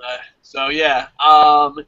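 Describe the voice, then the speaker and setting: A man's voice: fairly high pitch, little pitch movement, very slow, sharp tone, smooth, lo-fi sound. An American man chatting casually and emphatically about his opinions as a review show host, swearing freely. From New Jersey, United States.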